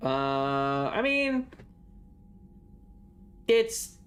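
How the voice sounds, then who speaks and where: Playful woman streamer with swooping pitch. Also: sing-song, extremely slow. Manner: playful, slangy, swearing. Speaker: streamer playing a video game. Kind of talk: casual reactive game commentary.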